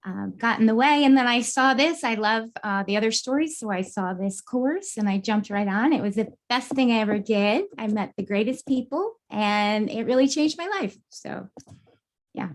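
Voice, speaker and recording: A woman's voice: a little high, lively intonation, moderate pace. Woman chatting as a podcast guest. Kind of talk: casual self-introduction.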